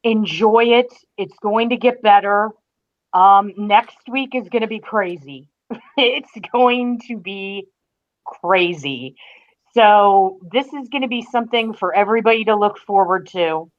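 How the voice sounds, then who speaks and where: A woman's voice: mid-range voice, unhurried. A woman guesting on a podcast, chatting casually and with enthusiasm. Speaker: podcast guest, entertainment writer. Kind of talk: casual chat. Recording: slightly dull, lo-fi call audio.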